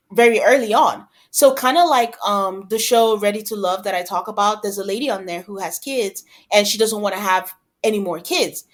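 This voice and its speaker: A woman speaks as a podcast co-host in a casual, conversational style. Her voice sits at a middling pitch, and she slips into imagined quotes to illustrate her points. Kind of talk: casual discussion of reality TV.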